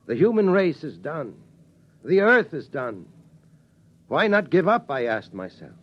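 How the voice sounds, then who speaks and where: A man playing a weary, steady father who tells his family how the world ended, in slow, solemn 1950s radio drama style. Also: on the high side. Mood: brooding. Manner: slow, solemn storytelling cadence. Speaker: father telling the family story. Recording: dull 1950s radio broadcast sound.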